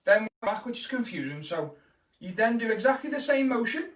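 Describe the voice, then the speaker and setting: Scouse kids' entertainer, fairly high voice, chatty live tutorial, echoey room, mic set back. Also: male voice, moderate pace. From Liverpool, England. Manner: speeds up when excited, chatty and encouraging. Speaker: kids' entertainer teaching magic tricks. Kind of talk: casual live-stream tutorial. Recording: roomy echo, mic at a distance.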